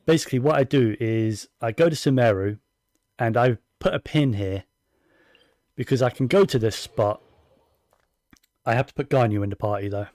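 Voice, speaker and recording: A man's voice: mid-range voice, normal speed, pauses often, a little mellow, no echo, studio quality. A man giving casual, rambling commentary while playing a video game, with plenty of fillers and restarts. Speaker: gaming streamer.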